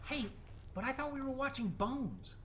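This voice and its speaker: An actor playing a goofy, smug young boyfriend in a horror comedy audio drama. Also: male voice, very high-pitched, very animated, moderate pace, heavy background noise. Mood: puzzled, joking.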